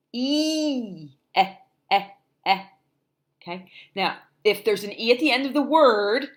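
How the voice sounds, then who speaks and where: A middle-aged woman from New Jersey with a softened Jersey accent, teaching phonics in a livestream and sounding out letters while checking in with "okay?". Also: medium pitch. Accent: New Jersey, softened toward standard American. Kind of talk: livestream phonics lesson for parents.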